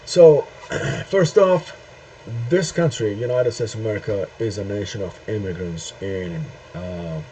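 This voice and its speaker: A middle-aged man from Turkey, speaking a halting opinion monologue to camera with many pauses and "uh"s. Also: lowish voice, warm tone. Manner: halting, searching for words with frequent "uh" and "um" pauses. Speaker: video host. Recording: dull lo-fi sound with faint noise.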